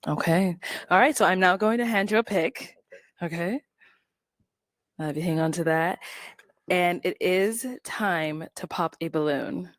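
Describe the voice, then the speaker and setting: A woman's voice: fairly low pitch, a little slow, pauses often, no echo. Upbeat female game host guiding contestants, close dry sound. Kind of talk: hosting a live dating game.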